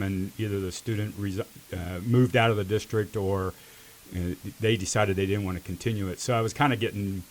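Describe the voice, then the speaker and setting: A middle-aged American man speaks in a lowish voice. He gives casual interview answers as a volunteer mentor, reflective and halting, with repeated words as he finds his way. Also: rough recording.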